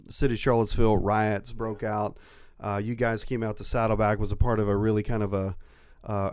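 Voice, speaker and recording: A male podcast co-host with a smooth, resonant voice, recorded dry and close. He asks questions earnestly and at a measured pace. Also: fairly low pitch, darkish tone, no room sound. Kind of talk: casual podcast interview.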